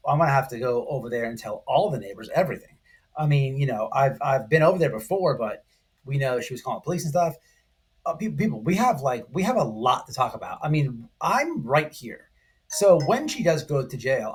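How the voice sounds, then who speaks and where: A man giving casual, excitable online commentary, speaking emphatically to his viewers and repeating his points. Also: medium pitch, husky. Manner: excitable, emphatic, repetitive, addressing viewers directly. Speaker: online commentary channel host. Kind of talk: casual, informal video commentary.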